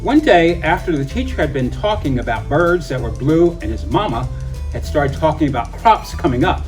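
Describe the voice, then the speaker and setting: Expressive man reading a children's story, distant mic. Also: a little high. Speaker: storybook reader. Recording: distant mic, music behind.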